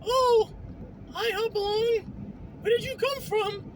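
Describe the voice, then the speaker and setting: Squeaky, excitable cartoon crewmate. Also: male voice, takes it slowly, noisy background. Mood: excited, surprised.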